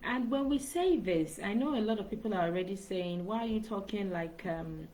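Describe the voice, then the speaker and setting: Woman, live-stream monologue, repeats herself, average pitch, faint background noise. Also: lively intonation, normal speed, a little mellow, low-quality recording. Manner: earnest, repeats phrases, light laughs. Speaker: speaking coach. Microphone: laptop microphone.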